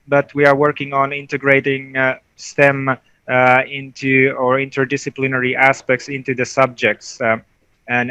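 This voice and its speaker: A man's voice: medium pitch, bright, forceful tone, clear, resonant tone, no room sound. A man from Finland speaking on a conference panel as a technical advisor, hesitant with many fillers and restarts.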